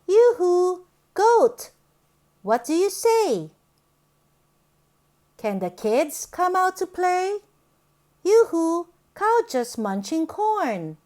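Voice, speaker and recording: A woman reading a picture book aloud to young children in a sing-song, exaggerated, deliberate way. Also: very high-pitched, sing-song, darkish tone.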